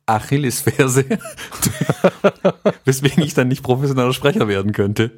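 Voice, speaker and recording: A man's voice: medium pitch, expressive, moderate pace, a little mellow. A man from Swabia with a light Swabian accent, chatting casually as a podcast co-host, laughing often and poking fun at himself. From Swabia, southern Germany.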